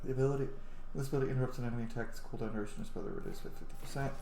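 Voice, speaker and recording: A man's voice: mid-range voice, steady tone, racing, mellow, reverberant, a little hiss or hum. A man giving casual, sweary, effusive let's play commentary and reading game text aloud. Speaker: gamer, roleplay streamer.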